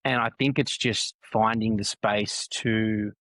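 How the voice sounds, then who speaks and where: Australian man, 30s, low voice, reflective podcast guest, dry close mic. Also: fairly even intonation, takes it slowly, fairly bright tone, dry recording. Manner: thoughtful, restarts phrases often, repeats points for emphasis. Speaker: podcast guest, husband and dad. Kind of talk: casual reflective chat.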